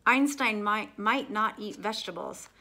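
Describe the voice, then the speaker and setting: A woman's voice: medium pitch, a little slow, pushed and bright. A woman voicing an eager, curious little girl who loves science in a storybook, with a keen, emphatic delivery.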